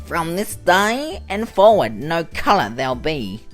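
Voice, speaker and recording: A man's voice: on the high side, sing-song, measured pace, faint music behind, voice pushed up. A man voicing a gleeful, bold old witch with a cackling, shrieking voice for a storybook read-aloud. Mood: commanding. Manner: theatrical, gleeful.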